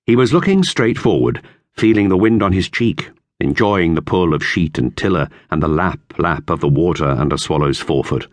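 Low-pitched man reading a children's story. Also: no room sound. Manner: measured, expressive storytelling. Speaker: audiobook narrator. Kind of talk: scripted narration of a children's classic novel.

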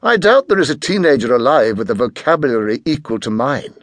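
A haughty teenage boy genius from a storybook , acted out by a man. He speaks formally and precisely, with pompous, very lively intonation in a dry, close recording. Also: medium pitch, a little fast, no echo. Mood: scornful.

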